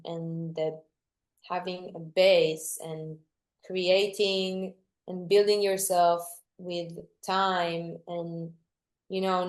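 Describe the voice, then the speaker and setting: A young woman from Greece, a dancer, talking reflectively in an interview, often restarting and searching for words. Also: on the low side. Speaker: guest dancer.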